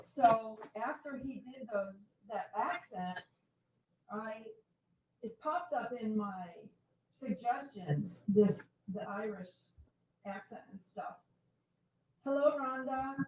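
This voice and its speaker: A woman's voice: a little high, takes it slowly, darkish tone, slightly rough. A woman co-hosting a casual live stream, chatting and greeting viewers by name. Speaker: co-host reading the live chat. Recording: roomy, distant, dull with faint noise.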